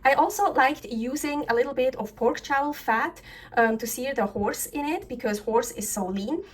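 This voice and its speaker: Woman, 30s, from Switzerland; chatty, emphatic vlogger, slightly high voice, roomy echo. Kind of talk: casual vlog narration.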